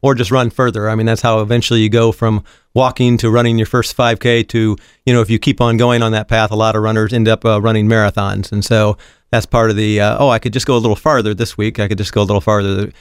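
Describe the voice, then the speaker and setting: A man speaking as a guest in a casual studio conversation, measured and matter-of-fact. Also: on the low side, level tone, smooth, dry recording. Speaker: show guest. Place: radio studio.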